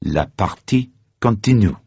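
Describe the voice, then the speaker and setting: A man's voice: lowish voice, expressive, unhurried, mellow, husky, voice pushed up. A man playing a formal French casino croupier in a story reading, announcing the play impressively. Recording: dull, muffled, roomy radio recording.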